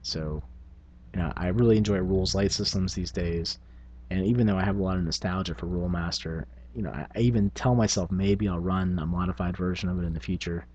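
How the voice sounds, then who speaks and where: A man's voice: deep voice, fairly even intonation, fairly quick, a little mellow, highs cut off, slight background noise. A middle-aged man talking informally and reflectively as a channel host, hesitating and thinking aloud as he goes. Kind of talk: casual reflective vlog on tabletop gaming.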